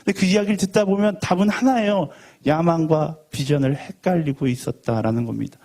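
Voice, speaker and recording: A man's voice: fairly high pitch, a little fast, darkish tone. A young man preaching a sermon to a college group in a conversational way, with rhetorical questions and joking asides. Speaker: youth pastor.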